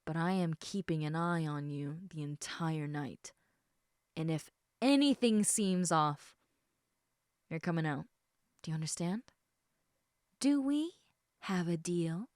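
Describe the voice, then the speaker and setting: Casting: actress as caring half-snake lamia for intimate roleplay audio; soft, hesitant, unhurried delivery, very dry close sound. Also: female voice, on the low side, no echo. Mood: caring, firm. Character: gentle, protective, indecisive female lamia, half snake.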